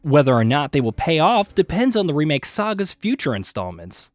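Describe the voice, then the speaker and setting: A man reading scripted video game list narration with an emphatic, punchy delivery and dramatic pauses. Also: a little high, wildly swooping pitch, no room sound, studio quality. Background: background music.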